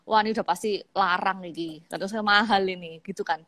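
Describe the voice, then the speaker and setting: A woman in her thirties from East Java with a Javanese accent, chatting casually as a guest on a live-stream interview, laughing easily and filling pauses with hesitations. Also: medium pitch, fairly even intonation, a bit bright, no room sound. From Malang, East Java, Indonesia. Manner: chatty, laughs easily, many fillers and restarts. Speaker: guest, makeup artist. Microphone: phone on an online live stream.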